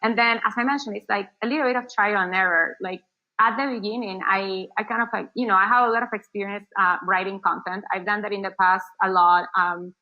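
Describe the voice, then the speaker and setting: A woman's voice: mid-range voice, steady tone, brisk. Woman from Argentina, non-native English speaker, loose rambling answers full of fillers, muffled lo-fi video call sound. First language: Spanish. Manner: rambling, many fillers and restarts. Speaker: marketing agency founder. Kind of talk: casual interview answers.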